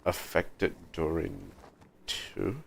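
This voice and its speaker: A man's voice: extremely low pitch, big pitch swings, extremely slow, vocal fry, slight background noise. A man livestreaming a game with casual, laid-back, musing commentary.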